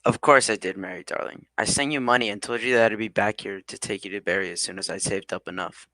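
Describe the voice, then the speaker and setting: An earnest young sweetheart played by a man in a radio play. His voice is fairly low but bright, recorded dry, with a devoted, eager-to-please manner. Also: fairly even intonation, quick pace, no room sound, rough recording. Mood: tender, reassuring. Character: earnest, devoted young male lover, obedient and reassuring. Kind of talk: old-time radio drama acting.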